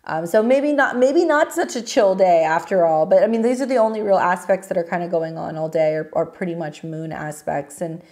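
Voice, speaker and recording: Female host, hoarse with a cold, lower register, loose chatty delivery full of fillers. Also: fairly quick, hardly any pauses, a little mellow. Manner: rambling, lots of fillers and restarts. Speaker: astrology channel host. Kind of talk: casual weekly forecast talk.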